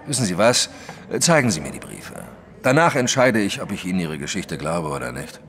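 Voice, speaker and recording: An actor playing a big, brusque, skeptical detective in an audio drama, questioning in a dry, commanding way. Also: male voice, low voice, sing-song, fairly quick, a bit bright, full character voice. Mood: businesslike. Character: huge, brusque, skeptical French male detective. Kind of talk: German audio drama acting.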